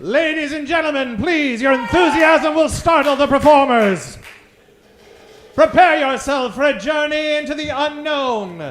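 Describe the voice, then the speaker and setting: A man's voice: very high-pitched, a little slow, a bit bright, slight background noise. A man hosting a radio theatre show, delivering theatrical scripted introductions in a grandiose, mock-dramatic, declamatory old-time announcer style.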